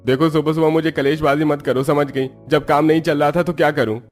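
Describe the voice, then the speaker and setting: Higher-pitched man as a village labourer. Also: fairly even intonation, fairly quick, faint music behind, lightly acted. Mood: irritated, defensive. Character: hard-up village labourer, testy but caring family man.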